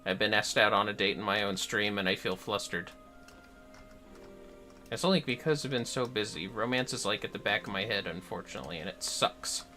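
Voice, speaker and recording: A man's voice: fairly low pitch, brisk, pushed and bright, soft background music. A man hosting a video game livestream with casual, playful chatter and muttered asides to the game. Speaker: livestream host playing an online video game. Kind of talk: casual gaming livestream chat.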